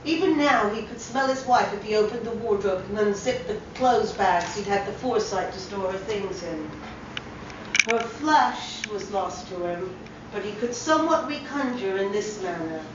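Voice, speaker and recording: Female story reader, dramatic literary narration, unhurried, higher-pitched, heard in a very reverberant room. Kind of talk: dramatic short story reading. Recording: very echoey room, distant mic, slightly dull, faint noise.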